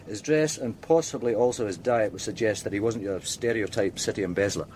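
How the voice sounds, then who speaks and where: An actor playing a dutiful police detective in a radio drama, giving an orderly, businesslike report. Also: male voice, fairly low pitch, fairly quick, darkish tone, a little hiss or hum, lightly acted. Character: dutiful male police detective briefing his superior.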